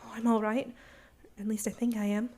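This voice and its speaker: A woman's voice: on the high side, little pitch movement, a little fast, a little mellow, natural voice. An actress playing a worried, loyal young woman in a suspense radio play, earnest and concerned. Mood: hushed, frightened.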